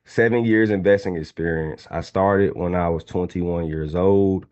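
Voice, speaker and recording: A man's voice: low voice, fairly even intonation, a little slow, darkish tone, clean tone, dull audio. A man in his twenties from Atlanta, casually teaching a live online trading class and talking to the chat. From Atlanta, Georgia. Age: 20s. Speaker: trading instructor.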